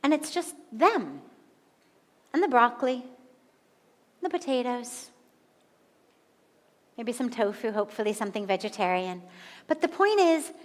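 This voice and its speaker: An American woman in her fifties, a spiritual teacher giving a slow, deliberate satsang talk with emphatic repetition. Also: fairly high pitch, big-room sound. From United States. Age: 50s.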